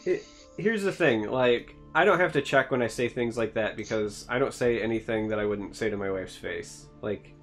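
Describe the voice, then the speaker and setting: Michigan guy hosting a chill podcast, dry jokey delivery, average pitch. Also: moderate pace, a bit bright, faint music behind. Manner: dry, joking, asks questions. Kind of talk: casual chat.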